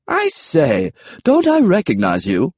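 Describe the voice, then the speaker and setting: Storybook Triceratops: high, swooping, fussy British gentleman, slightly husky. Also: wildly swooping pitch, slow, dry recording, clean studio sound, full character voice. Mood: delighted. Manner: posh, fussy. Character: genteel, indignant male Triceratops, small talking dinosaur.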